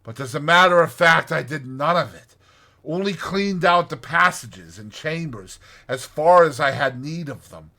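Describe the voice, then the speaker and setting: Guy doing a friendly badger for a kids storybook, declaims proudly about his burrow, high bright voice. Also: a little slow. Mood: explanatory. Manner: emphatic, rhetorical, declaiming. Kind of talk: dramatized storybook reading.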